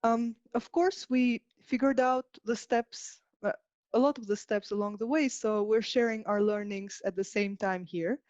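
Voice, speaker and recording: Female conference speaker from Albania, software engineer delivering a structured tech talk; dry, somewhat muffled lo-fi sound. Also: on the high side, measured pace, no echo. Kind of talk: prepared technical presentation.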